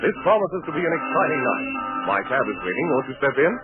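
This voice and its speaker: An actor playing a genial, courteous theatergoing host, narrating in a theatrical 1940s radio style. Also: male voice, mid-range voice, big pitch swings, fast talker, phone-quality sound, lightly acted. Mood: genial, eager. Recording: thin 1940s radio with loud music.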